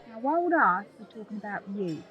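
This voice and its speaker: A woman's voice: medium pitch, big pitch swings, fairly quick, muffled recording, lightly acted. An actress playing a protective, level-headed young pirate woman in an audio drama, firm and concerned. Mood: concerned, dry. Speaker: actor in an audio play. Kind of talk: theatrical audio drama dialogue.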